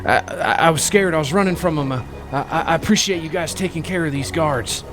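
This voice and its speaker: A man's voice: fairly high pitch, fairly quick, over music, close to an everyday voice. A man playing a stammering scared Texan fugitive. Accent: Texas. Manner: stammering, breathless.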